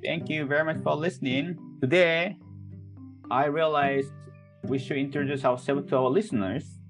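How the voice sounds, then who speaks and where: A man's voice: fairly high pitch, fairly even intonation, normal speed, soft background music. A middle-aged man from Japan with a Japanese accent, a minister hosting a casual faith podcast with careful, simple phrasing. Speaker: head minister and podcast host.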